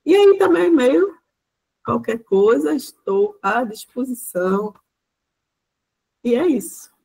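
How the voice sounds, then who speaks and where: A woman's voice: fairly high pitch, expressive, slow, creaky, warm tone, breathy edge, rough recording. Chatty woman guest lecturer. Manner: chatty, loose, leans on fillers like "né". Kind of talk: informal talk to a class.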